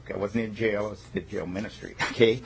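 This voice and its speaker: A man's voice: on the low side, steady tone, quick pace, a little hiss or hum. A male state legislator introducing himself at a committee meeting, folksy and emphatic with joking asides.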